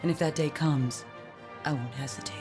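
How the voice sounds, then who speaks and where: A woman's voice: deep voice, fairly even intonation, moderate pace, over loud music, lightly acted. An actress playing a proud, honor-bound female angel warrior in a video game, formal and dramatic in delivery. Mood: solemn warning. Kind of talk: video game cutscene acting.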